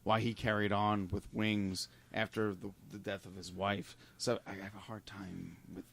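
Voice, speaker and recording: A man's voice: fairly low pitch, flat delivery, moderate pace, vocal fry, fairly bright tone, a little hiss or hum. A man in casual studio discussion, speaking as a skeptic.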